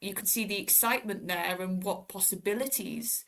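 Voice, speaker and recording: A woman talking casually and thoughtfully as a panelist on a podcast, with expressive phrasing. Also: medium pitch, moderate pace, creaky. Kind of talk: casual discussion.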